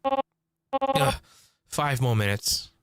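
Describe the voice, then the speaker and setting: A man's voice: fairly high pitch, wildly swooping pitch, very slow, a bit bright, voice pushed up, heavily acted. A man voicing a guarded, prickly young survivor in a visual novel, talking in a grudging, wry way. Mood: grumpy, defensive. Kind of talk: first-person visual novel narration and dialogue.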